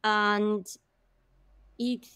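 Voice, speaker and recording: A woman's voice: medium pitch, level tone, drawn-out words, sharp tone, clean tone, no echo. A woman from Colombia, a guest vividly telling her own life story in an interview, with gasps and laughs along the way.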